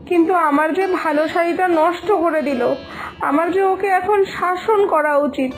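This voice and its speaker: A woman playing a poor, loving village mother in an animated moral story, tender and lamenting as she gently scolds. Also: very high voice. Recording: music behind the voice.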